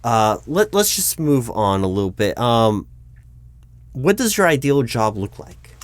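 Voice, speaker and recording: A man's voice: mid-range voice, very animated, unhurried. A man playing a recruiting manager in an ASMR job interview roleplay, patient and a bit exasperated, with many ums and restarts. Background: keyboard typing.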